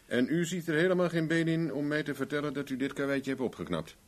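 An actor playing a formal, sardonic, businesslike private investigator in a Dutch radio play. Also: male voice, on the high side, fast talker, natural voice. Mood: probing, sardonic. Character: dry-witted, businesslike male private investigator.

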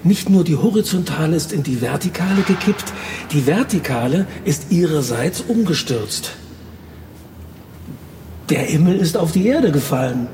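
An actor playing a brooding, cryptic writer in a radio drama, musing aloud and speaking in riddles. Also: male voice, on the high side, expressive, moderate pace, background noise, close to an everyday voice. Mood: intense explaining. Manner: musing, probing, gives oracular answers. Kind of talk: German radio drama acting.

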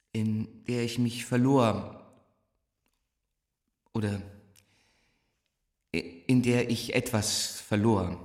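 An actor playing a gifted, fragile young teacher in a stage drama, declaiming with halting searches for words. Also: male voice, mid-range voice, darkish tone, roomy sound.

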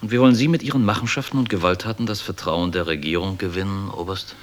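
Deep-voiced, sardonic army major played by a man. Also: fast, close to an everyday voice. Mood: guarded, ironic. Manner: sardonic, condescending, chuckling at the young. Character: wry, guarded army major, patronizing yet obedient volunteer leader. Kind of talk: German radio drama acting.

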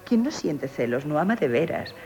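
An actress with a Castilian Spanish accent playing a witty, proud young innkeeper in a theatrical radio comedy, teasing and emphatic. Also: female voice, low voice, sing-song, normal speed, darkish tone. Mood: coolly provoking. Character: witty, coquettish, proud young female innkeeper, mock-humble. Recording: hissy 1970s radio broadcast.

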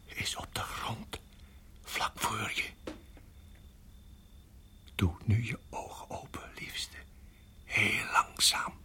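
An actor playing a tense husband in a radio drama, speaking in a hushed, halting, urgent way with a husky voice. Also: male voice, fairly low pitch, steady tone, whispered. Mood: whispered, careful. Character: tense husband guiding wife through deadly snake danger. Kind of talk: radio drama acting and narration.

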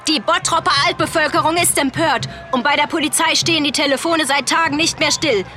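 A woman's voice: sits high, brisk, bright, forceful tone, faint music behind. A woman playing a television field reporter, delivering a scripted report in a satirical radio play. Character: news field reporter Gisela Geisel, female.

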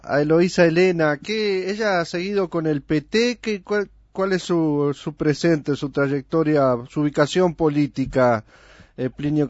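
A man's voice: fairly high pitch, a little mellow, no echo, muffled recording. A man with a Uruguayan Spanish accent hosts a radio interview in a friendly, conversational way. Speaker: radio host.

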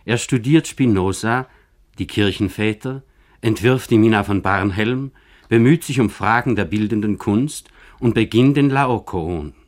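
A man narrating a scripted literary radio documentary in a formal, measured manner. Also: on the low side.

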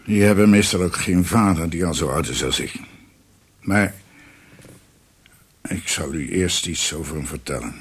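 An actor playing a guilt-ridden older former judge in a radio drama, husky, halting and formal, a proud and guarded father. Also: deep voice. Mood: guarded, defensive.

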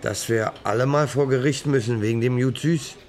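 A man's voice: mid-range voice, moderate pace, darkish tone, natural voice. A man playing a troubled, conscience-stricken actor colleague in a German radio drama, probing and reflective. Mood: uneasy, probing.

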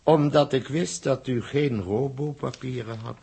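An actor playing an evasive, condescending doctor in a 1960s Dutch radio drama, speaking formally and with measured pomp. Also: male voice, medium pitch, creaky, dark tone, breathy edge, exaggerated voice. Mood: self-assured.

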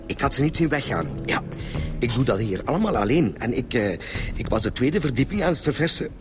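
Actor as flustered Flemish hotelkeeper, swooping intonation. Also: male voice, medium pitch, animated, fairly quick, music in the background, close to an everyday voice. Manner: stammering, rambling, self-pitying. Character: flustered, fussy male keeper of a seedy hotel. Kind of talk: naturalistic radio drama acting.

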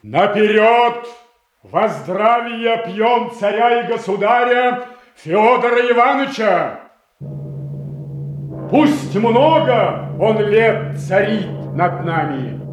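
Slow-spoken, stern old Russian prince. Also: male voice, high voice, animated, faint music behind, rough recording. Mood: ceremonial. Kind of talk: classical stage acting for radio.

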